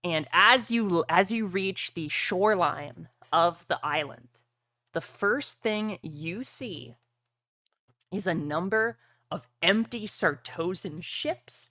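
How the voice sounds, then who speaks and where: Woman game master, bright lively voice. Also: mid-range voice, no room sound, clean studio sound. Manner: profane, teasing. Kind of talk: running a tabletop roleplay game with casual banter.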